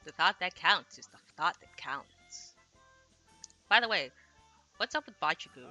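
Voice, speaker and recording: A woman's voice: lowish voice, expressive, unhurried, bright, forceful tone, dull audio, soft background music. A woman streaming a visual novel, reading translated dialogue aloud and adding casual, slangy asides. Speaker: game streamer.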